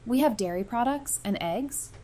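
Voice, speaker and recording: A woman's voice: a little high, animated, normal speed, dark tone, close to an everyday voice. A woman voice-acting a wry, affectionate apocalypse survivor in an audio drama, casual and dryly teasing. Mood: practical. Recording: noticeable background noise and ambience.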